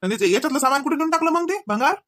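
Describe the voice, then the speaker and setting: A woman's voice: sits high, animated, racing. A woman voicing an easygoing young husband in a Marathi comedy cartoon, with a casual, exclamatory Varhadi accent. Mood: pleasantly surprised. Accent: Varhadi Marathi from Vidarbha. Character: easygoing husband and brother-in-law arriving home.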